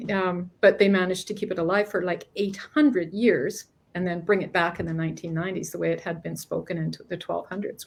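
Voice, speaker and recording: A woman's voice: lowish voice, creaky, breathy edge. A middle-aged woman from Canada, a guest author chatting casually in an interview, often restarting sentences and laughing between thoughts.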